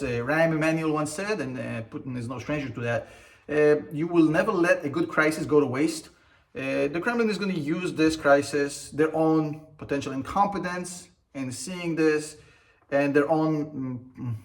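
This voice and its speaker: A man's voice: on the high side, fairly bright tone. A man who is a native Russian speaker gives unscripted political commentary as a show host, mulling over his phrases and often repeating words.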